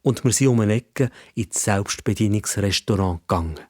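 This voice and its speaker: A man's voice: lowish voice, normal speed, darkish tone, no room sound, studio quality. A man with a Swiss accent telling a scripted story for children as narrator.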